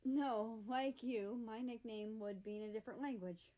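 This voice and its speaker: A woman's voice: fairly high pitch, fairly even intonation, moderate pace, mellow, close to an everyday voice. Woman reading as a playful, teasing young man.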